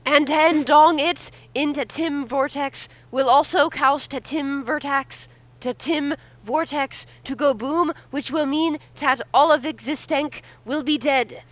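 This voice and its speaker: A woman's voice: sits high, fairly even intonation, slow pace, dry recording. A woman chatting casually as a commentator.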